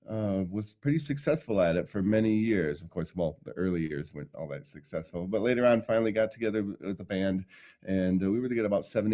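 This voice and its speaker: A middle-aged man from Michigan, telling his life story as an interview guest in a relaxed way, with many ums and laughs. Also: lowish voice, muffled recording. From Michigan, USA. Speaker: guest, sound healer.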